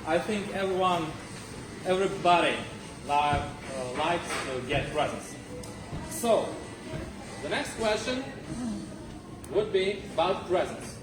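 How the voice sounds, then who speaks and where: Guy hosting a contest, talks slow and halting, far from mic in echoey room. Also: high voice, animated. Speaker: contest host asking questions. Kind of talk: hosting a live club speaking contest. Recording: echoey room from afar, loud music.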